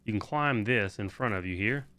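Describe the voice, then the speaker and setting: A man's voice: fairly low pitch, moderate pace, bright, forceful tone. A man hosting a video game guide, giving casual, informal walkthrough commentary with the odd swear word.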